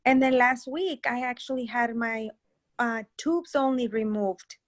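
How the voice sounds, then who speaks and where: A woman's voice: fairly high pitch, a little slow. A woman in her forties asking a question in a Q&A session, hesitant with restarts. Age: 40s. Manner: hesitant, with nervous laughs and restarts. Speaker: caller.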